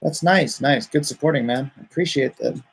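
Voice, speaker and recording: A man's voice: mid-range voice, moderate pace, creaky, breathy edge. A man hosting a livestream, chatting casually and reading viewer comments aloud in a rambling, joking way. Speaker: comic artist hosting a livestream.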